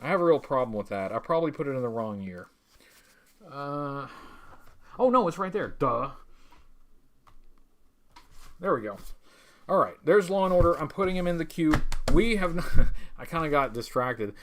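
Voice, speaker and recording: A man with a somewhat high voice hosts a casual live stream. He talks in a loose, halting ramble, stopping and restarting as he goes. His manner is wry and tongue-in-cheek, like someone chatting to regular viewers. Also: expressive. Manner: rambling, halting, stop-start delivery. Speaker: livestream host. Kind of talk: casual livestream chat reading viewer messages.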